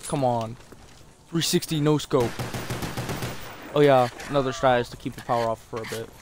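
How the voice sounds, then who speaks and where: Game gunfire and noise sit behind a man's mid-pitched voice. He rambles casually as he plays, swearing often and putting himself down. His throat is a little sore. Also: normal speed. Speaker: gaming video host. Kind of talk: casual gameplay commentary. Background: zombie shooter gunfire and ambience.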